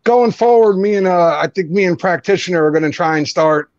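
A man's voice: sits high, no room sound, highs cut off. A man with a New Jersey accent, chatting casually and joking as a co-host of a group video stream. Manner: joking, laughs often, quick back-and-forth.

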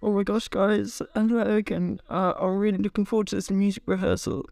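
A man voicing a flustered, forgetful, apologetic orchestra member in a high, creaky comedy voice. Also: level tone, fairly quick, darkish tone, no echo, faint music behind. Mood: flustered, chatty. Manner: gushing, apologetic, scatty.

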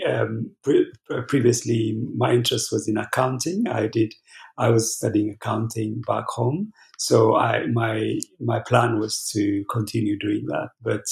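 Hesitant man, gravelly and roomy. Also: mid-range voice, darkish tone. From Ethiopia. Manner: halting, many fillers and restarts. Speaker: pastor guest. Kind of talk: conversational interview answers. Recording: roomy echo.

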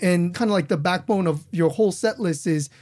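A man's voice: sits high, fairly quick, darkish tone. A man hosting a casual studio interview, praising his guests and often restarting his phrases. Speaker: interview host.

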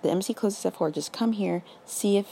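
A raspy young woman hosting a casual faith podcast, earnest and encouraging, full of "like" and "literally". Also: medium pitch, a little fast, mellow, slight background noise. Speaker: podcast host.

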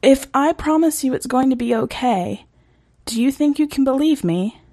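A woman's voice: high voice, lively intonation, moderate pace, mellow, no echo, voice pushed up. A woman reading a story and voicing a small, defiant wolf girl who speaks with earnest, strained urgency. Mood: pleading, reassuring. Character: hungry wolf girl, small, defiant yet guilt-stricken. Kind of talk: dramatic short story reading.

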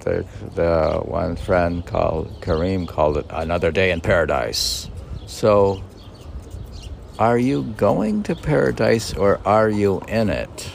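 A man reading his own poems with casual, rambling commentary, halting and musing as he puts questions to himself. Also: low-pitched, vocal fry. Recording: noisy outdoor recording with birds. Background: birdsong, ambient noise.

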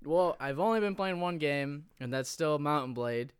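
Podcast guy tripping over his words, smooth clear voice, pitch bounces. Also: lively intonation, dry recording. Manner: halting, stammers with restarts and giggles. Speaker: podcast co-host. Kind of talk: casual chat among friends.

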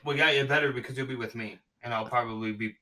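A man hosting a casual sports podcast, talking excitedly and gushing about his predictions, often restarting his sentences. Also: mid-range voice, fast talker, far from the mic, crowd noise. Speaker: podcast host.

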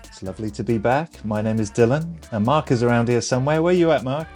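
A man's voice: mid-range voice, quick pace, a little mellow, faint music behind. A Welsh man co-hosting a podcast in casual chat, joking and teasing his co-host. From Wales. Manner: joking, teasing, calls people mate.

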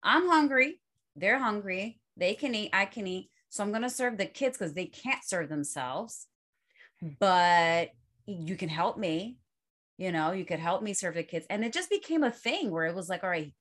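A middle-aged woman with family roots in Puerto Rico and the Dominican Republic, chatting casually and telling stories from her family life in a reflective, animated way. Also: mid-range voice, normal speed. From Puerto Rican and Dominican family roots. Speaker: co-host. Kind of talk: casual chat.

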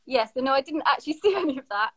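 A woman from Sheffield speaks over a video call into a live stream. Her voice sits a little high, and she chats in a relaxed, friendly way, full of likes and ums. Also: racing. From Sheffield, England. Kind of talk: casual interview chat.

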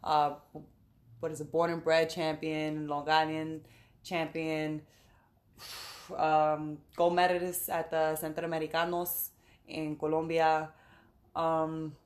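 Low flat-voiced woman from the Bronx. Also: takes it slowly. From the Bronx, New York. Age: late 20s. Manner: relaxed, laughs often, lists with fillers. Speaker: boxer and guest. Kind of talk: casual podcast interview.

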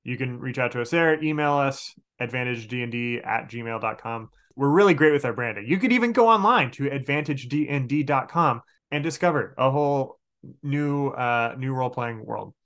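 A man's voice: medium pitch, animated, moderate pace, a bit bright. A man speaking as a podcast guest and game master, casual and emphatic. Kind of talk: casual interview talk.

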